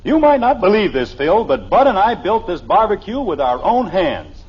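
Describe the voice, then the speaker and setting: An actor playing a stubbornly cheerful, folksy small-town American husband in an old-time radio comedy, with a husky voice and a hearty, boastful manner. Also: male voice, high voice, big pitch swings, normal speed, full character voice. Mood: eager, boastful. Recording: dull vintage radio recording.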